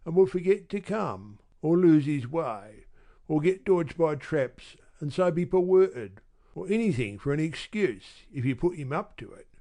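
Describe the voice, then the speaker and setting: A man's voice: on the high side, warm tone. A man voicing a surly, bitter housebreaker who growls and demands. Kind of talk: audiobook character reading.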